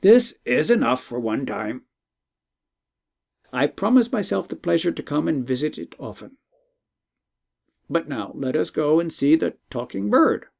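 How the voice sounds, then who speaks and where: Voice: mid-range voice, very animated, measured pace, vocal fry, pauses often, a little mellow, exaggerated voice. A storyteller voicing a dignified, fatherly emperor with stately, formal and emphatic speech in an audiobook tale. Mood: pleased, gracious. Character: dignified Persian emperor, gracious, credulous, fatherly.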